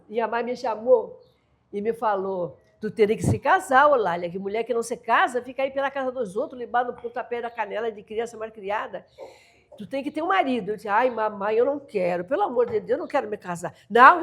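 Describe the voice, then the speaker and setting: An older woman from the São Paulo coast with a caiçara accent, telling folk stories in a lively, laughing way as an interview guest. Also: fairly high pitch, fast talker, slightly rough. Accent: Brazilian Portuguese, São Paulo coast (caiçara). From São Paulo coast, Brazil. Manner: lively, laughing, voices family members in dialogue. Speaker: storyteller guest. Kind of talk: animated storytelling of folk tales.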